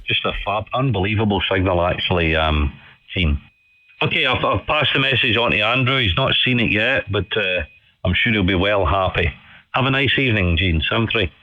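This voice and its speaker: A man with a low voice talks on amateur radio in a polite, chatty way, repeating himself for emphasis. The sound is dry and somewhat dull, carried by a band-limited radio signal. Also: animated, normal speed, dry recording. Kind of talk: casual ham radio chat. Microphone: studio condenser microphone over radio.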